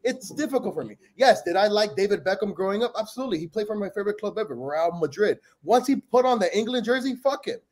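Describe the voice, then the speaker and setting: A man from Peru in blunt, animated casual sports chat, cursing freely as a podcast panelist. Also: high-pitched, quick pace, few pauses.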